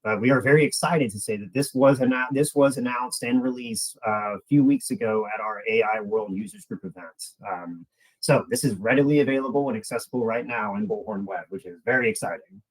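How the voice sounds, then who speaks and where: A man's voice: mid-range voice, fairly quick, rough recording. A man giving a webinar presentation as a product presenter, explaining in a conversational way and addressing the audience directly.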